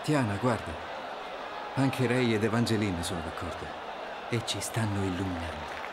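An actor playing a charming, devoted, upbeat young husband in an animated video game. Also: male voice, on the low side, normal speed, a loud crowd, very noisy, natural voice. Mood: tender.

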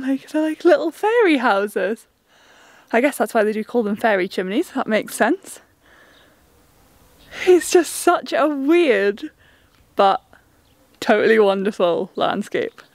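A woman's voice: high-pitched, dry recording. A young woman vlogging outdoors about van travel, gushing with enthusiasm as she films herself at arm's length. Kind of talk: casual travel vlog. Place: outdoors in open countryside.

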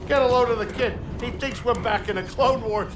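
High-pitched, cocky little alien pilot. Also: male voice, normal speed, background noise. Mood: alarmed, exasperated. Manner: blustery, fussy, chatty. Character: small, cocky, grumbling male alien starship pilot. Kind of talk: comic-relief video game acting. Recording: reverberant, music behind the voice. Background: clear cinematic music.

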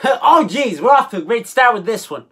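A woman hosting a comedy show with casual, sarcastic reaction commentary, exasperated and halting as she repeats herself. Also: on the high side, very animated, background noise. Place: small indoor room full of collectibles.

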